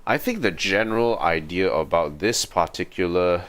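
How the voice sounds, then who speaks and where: A man's voice: sits low, moderate pace, fairly bright tone. A man giving casual livestream game commentary in musing, sparse remarks with thoughtful hums. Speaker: livestream gamer.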